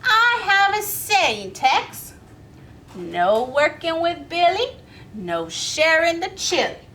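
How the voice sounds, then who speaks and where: A woman's voice: extremely high pitch, very animated, slow pace, sharp tone, a little hiss or hum, voice pushed up, full character voice. A woman voicing a stubborn, easily miffed female armadillo cook in a storybook, with folksy, emphatic scolding. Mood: huffy, scolding. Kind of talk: animated children's storybook read-aloud.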